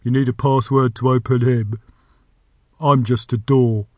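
A man voicing a talking magic door from a storybook, a gruff, self-important gatekeeper with a booming gravelly voice. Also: fairly even intonation, unhurried, dry recording, clean studio sound, lightly acted. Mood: chatty, rambling. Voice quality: deep, gravelly.

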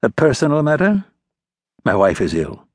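A scheming, mocking ambassador prince of about forty, as a male narrator puts him on. His voice sits high and husky, swinging with lively, lofty scorn. The delivery is dry and close. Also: moderate pace, dry recording.

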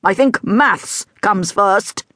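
A woman voicing a stern, gruff male headteacher who speaks in a clipped way. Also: mid-range voice, lively intonation, takes it slowly, fairly bright tone.

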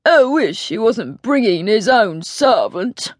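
A woman's voice: mid-range voice, sing-song, takes it slowly, no room sound, clean studio sound. A woman voicing a male footman who is affected, mincing and contemptuous, in storybook narration. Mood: sneering, affected.

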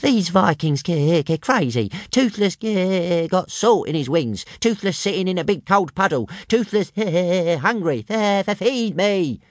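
A man's voice: high-pitched, lively intonation, a little slow, hardly any pauses, dry recording, studio quality, voice pushed up. A man voicing a small, sulky green male dragon who whines in stuttering, broken speech. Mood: whining.

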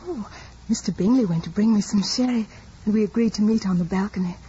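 An actress playing a sweet, modest, smitten young gentlewoman in a period radio drama, gentle and demure. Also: medium pitch, fast talker, slight background noise, natural voice. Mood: tender. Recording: dull radio recording with faint music.

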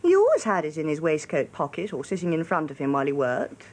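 An actress playing a jolly, slangy office copywriter in a British radio drama, with an upper-class English accent and a brisk, frank, drily witty manner. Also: female voice, deep voice, huge pitch swings, darkish tone, slightly rough, exaggerated voice. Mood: candid.

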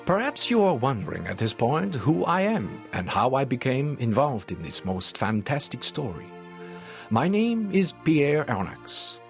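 A learned gentleman professor recounts his tale for an audio drama. His delivery is formal and measured, yet melodic and varied in pitch. Soft music sits behind him. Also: sing-song, vocal fry. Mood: measured, intrigued. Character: French natural history professor narrating his strange story. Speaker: narrator.

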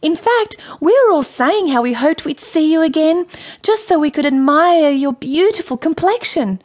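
A woman voicing a confident young sea-child girl in a children's story, speaking politely and flatteringly. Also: high voice, moderate pace, voice pushed up. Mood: confident flattery.

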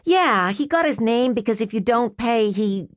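A whiny, feckless grown man in an audiobook, pitched high and squeaky as he pleads and squeals, with a woman reading him. His words come in nervous little bursts, and the sound is close and dry. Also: animated, normal speed, no room sound, natural voice. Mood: wheedling.

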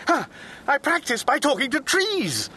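An actor playing a lonely, exasperated Englishman stranded in prehistory in a radio comedy, halting and drily sarcastic, with an English accent. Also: extremely high pitch, wildly swooping pitch, sharp tone, a little hiss or hum, full character voice. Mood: flustered. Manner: flustered, halting, dry sarcasm. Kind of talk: radio comedy drama acting.

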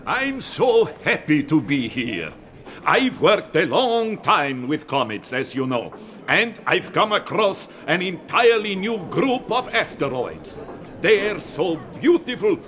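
Earnest Turkish astronomer. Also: male voice, high-pitched, very animated, takes it slowly, fairly bright tone, full character voice. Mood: enthusiastic. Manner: formal, earnest lecturing. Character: earnest male Turkish astronomer presenting his discovery. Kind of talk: radio drama acting.

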